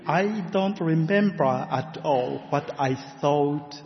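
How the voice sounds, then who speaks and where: A middle-aged man from Japan with a Japanese accent, preaching a sermon as a guest in a church, measured and careful, with gentle laughs. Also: a little high, slight background noise. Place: church sanctuary. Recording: muffled sound with long church reverb.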